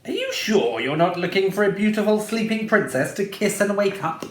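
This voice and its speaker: A cackling, mocking storybook witch, played by a man reading aloud. Her voice leaps high and darts around, in an echoey room. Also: lively intonation, moderate pace.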